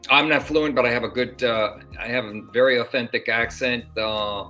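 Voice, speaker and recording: A man's voice: medium pitch, fairly even intonation, moderate pace, bright, forceful tone. An older man, an actor guest, talking in a casual interview, rambling with many fillers and restarts. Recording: dull lo-fi sound with faint music.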